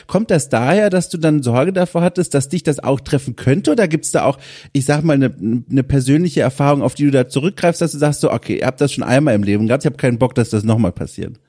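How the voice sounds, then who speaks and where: A man's voice: mid-range voice, dark tone. A man hosting a conversational interview, chatty and encouraging, with long questions and spontaneous asides. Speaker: interviewer, host.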